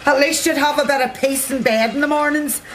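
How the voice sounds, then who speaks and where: A bawdy older mill worker. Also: female voice, high voice, fairly even intonation, fairly quick, fairly bright tone, a little hiss or hum, low-quality recording. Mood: teasing. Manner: teasing, earthy. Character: middle-aged mill worker, bawdy and motherly. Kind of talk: radio drama acting.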